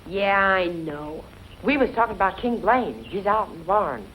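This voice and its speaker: A scrappy young ranch kid, played by a woman, loyal and plucky with ungrammatical country talk. The pitch jumps around in old western film style, over faint noise. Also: animated, moderate pace, fairly bright tone, close to an everyday voice, low-quality recording. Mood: defiant. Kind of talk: 1940s western film acting.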